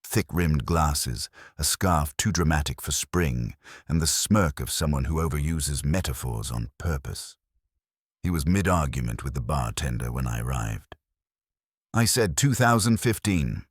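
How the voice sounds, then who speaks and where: A man with an extremely deep voice narrates a detective story. His delivery is wry and measured, with lively swings in pitch. The sound is dry, with very little room in it. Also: breathy edge, no echo. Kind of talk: scripted first-person detective story narration.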